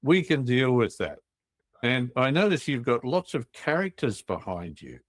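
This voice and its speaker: A man's voice: mid-range voice, very animated, unhurried, no echo, clean studio sound. A man hosting a business podcast, interviewing in an upbeat, jovial way. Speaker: podcast host. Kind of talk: casual interview chat.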